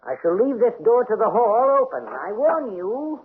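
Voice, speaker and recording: An actor playing a cold, vengeful count in an old radio drama, with a suave, formal, mockingly polite manner. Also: male voice, extremely high pitch, sing-song, moderate pace. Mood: cold, commanding. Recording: muffled, tinny old radio recording.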